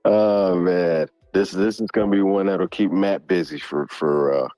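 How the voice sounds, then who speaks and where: A man joining a podcast as a regular, talking casually in an unhurried, chuckling way. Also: low voice, steady tone, warm tone, no echo, studio quality. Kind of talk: casual chat.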